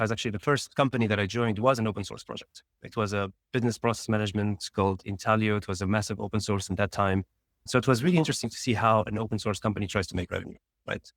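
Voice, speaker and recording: Fast-talking man from Tunisia. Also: on the low side, fairly even intonation, no room sound, studio quality. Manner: fast, rambling storytelling with restarts. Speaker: guest, startup founder. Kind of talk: casual interview talk.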